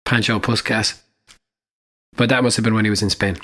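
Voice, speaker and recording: A man's voice: on the low side, steady tone, fairly quick, a bit bright. A man co-hosting a podcast, chatting casually in a dry, teasing way and laughing often. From Ireland. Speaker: podcast co-host. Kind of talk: casual chat.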